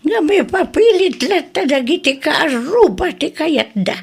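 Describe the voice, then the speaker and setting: Elderly grandmother acted by a woman, Ilocano accent, radio drama, slow-paced, very high creaky voice, doting and fretful. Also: sing-song, exaggerated voice. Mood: fretful, concerned.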